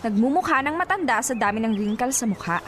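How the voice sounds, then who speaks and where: A woman's voice: a little high, lively intonation, quick pace, close to an everyday voice. A woman acting a brash, fun-loving young office worker in a radio drama, teasing and exclamatory.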